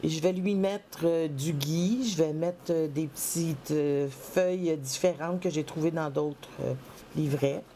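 Woman, slightly congested from a cold, low mellow voice, halting narration at a craft desk. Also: slight background noise. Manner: halting, many fillers and restarts. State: has a head cold. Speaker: planner vlogger. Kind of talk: casual voice-over while decorating a planner. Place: home craft table, indoors.

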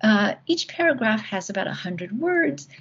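A woman's voice: a little high, big pitch swings, normal speed, breathy edge. A woman giving an academic lecture over a video call, talking with many ums and restarts. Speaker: guest lecturer. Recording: dull lo-fi video call audio.

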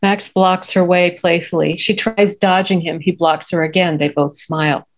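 A woman's voice: on the low side, steady tone, moderate pace, highs cut off. A middle-aged woman reading screenplay directions as scripted narration over a video call. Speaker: stage direction reader. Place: room at home.

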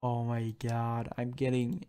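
A man chatting casually and haltingly on a live stream, sounding flustered. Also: medium pitch, completely flat delivery, dark tone, smooth. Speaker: streamer and indie game developer. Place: indoor room at home.

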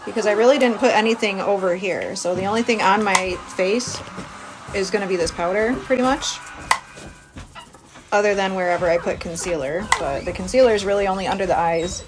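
A woman's voice: mid-range voice, brisk, background noise. A woman in her thirties giving a casual, chatty makeup tutorial, explaining informally as she works. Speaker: beauty vlogger. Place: small cabin room by a window. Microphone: phone at arm's length. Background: music.

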